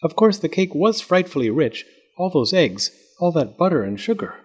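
A man voicing an excitable little female storybook creature in a raised, breathless, husky voice. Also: fairly high pitch, moderate pace, voice pushed up. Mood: musing.

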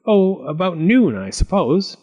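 A man's voice: fairly high pitch, big pitch swings, moderate pace, darkish tone, pitched above the performer's own voice. A man voicing a mild, shrewd farmer in a storybook tale, musing and folksy. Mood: cheerful. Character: mild, shrewd male farmer, worried yet crafty.

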